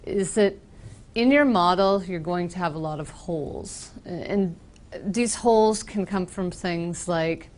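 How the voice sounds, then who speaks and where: A woman from Boston with an American accent, giving a casual, explanatory software presentation with frequent ums. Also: fairly low pitch. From Boston, United States. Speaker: software trainer. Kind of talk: conference presentation.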